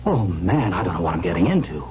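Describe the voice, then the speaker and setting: A man's voice: sits low, big pitch swings, quick pace, a little mellow, slight background noise. An actor playing a young male heir who narrates his own tale with wry storytelling. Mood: narrating. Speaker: narrator and lead in radio drama. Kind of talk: 1970s radio drama narration. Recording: muffled old radio recording with music.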